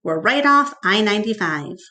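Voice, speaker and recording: A woman's voice: medium pitch, expressive, moderate pace, sharp tone. A woman voicing a blustering, demanding businessman in a storybook, talking in a brash, salesman-like way. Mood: eager, selling. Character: Mac, blustering, demanding man who runs a mall attraction. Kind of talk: storybook read-aloud character voice.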